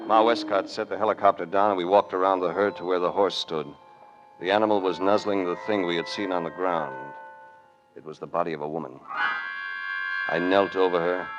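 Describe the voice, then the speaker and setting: Man as low-voiced wry American radio detective. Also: fairly even intonation, fairly quick, dull audio, natural voice. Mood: grim narration. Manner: dry, sardonic, clipped. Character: cool, wry hard-boiled male investigator hero. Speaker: lead and narrator. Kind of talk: 1940s radio acting, noir narration. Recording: vintage radio drama with music.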